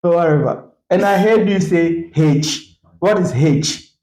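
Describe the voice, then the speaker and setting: A young man with a Ghanaian accent in a playful casual chat, protesting loudly and laughing often. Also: on the high side, unhurried. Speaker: co-host. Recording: roomy echo.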